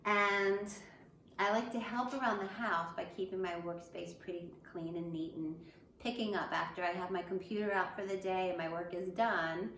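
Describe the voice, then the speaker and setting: A woman's voice: lowish voice, steady tone, normal speed, a bit bright. A woman schoolteacher reading and talking to young children in simple, encouraging phrases. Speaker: elementary school teacher. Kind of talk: children's picture book read-aloud with asides to pupils. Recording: echoey room with faint background noise.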